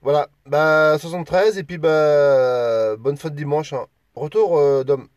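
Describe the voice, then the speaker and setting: A man's voice: a little high, a little slow, darkish tone, dry recording, studio quality. A man chatting informally and chummily as a CB radio operator. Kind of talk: casual radio chat.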